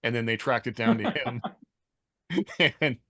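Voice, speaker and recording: A man's voice: mid-range voice, unhurried, pushed and bright, no room sound. American man, casual, rambling, in a dry room. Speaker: podcast co-host. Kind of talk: casual chat, telling anecdotes.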